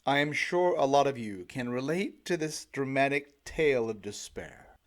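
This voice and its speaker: A man's voice: medium pitch, animated, normal speed. A middle-aged man reading his own self-help audiobook in a dramatic, emphatic storytelling manner. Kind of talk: scripted self-help narration.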